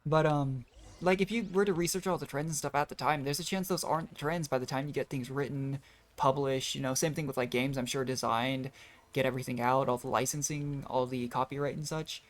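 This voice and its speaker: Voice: medium pitch, steady tone, a little fast. A game streamer giving casual, chatty live commentary in a sceptical, analytical way.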